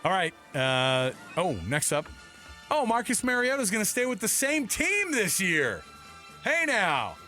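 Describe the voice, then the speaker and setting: A man's voice: high voice, huge pitch swings, unhurried, bright, forceful tone. American man bantering on sports radio, music behind. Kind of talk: casual sports talk show banter and commentary. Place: radio studio.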